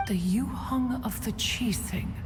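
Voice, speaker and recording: A woman's voice: fairly high pitch, slow pace, noisy background. A woman voicing a haughty, refined ancient adeptus in a video game, speaking in formal, archaic phrases. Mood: courtly, gracious. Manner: formal, archaic, stately speech, calls herself "one". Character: haughty, refined, ancient female adeptus, mountain recluse fond of gadgets. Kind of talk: video game cutscene voice acting. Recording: reverberant hall, soft game music behind.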